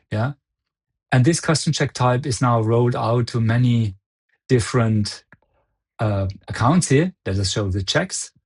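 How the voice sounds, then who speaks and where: Husky-voiced man presenting a software demo. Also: mid-range voice. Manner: explanatory, step-by-step walkthrough. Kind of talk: live product demo presentation.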